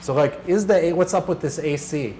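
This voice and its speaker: A man's voice: fairly high pitch, brisk, dark tone. A man giving an informal workshop talk as a radio organizer, conversational and telling anecdotes in reported dialogue. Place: workshop room, live talk. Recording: clear background noise.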